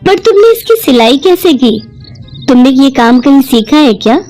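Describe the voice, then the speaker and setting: A woman voicing a well-off, kindly and enthusiastic married lady, encouraging and expressive, in a cartoon moral story. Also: sits high, normal speed, faint music behind, voice pushed up. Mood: astonished.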